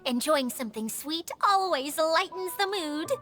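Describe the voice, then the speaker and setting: A cheerful little mascot girl from a video game. The voice is tiny and very high, sweet and soothing with lively melody, and music plays clearly behind it. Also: unhurried. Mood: happy. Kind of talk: video game cutscene acting.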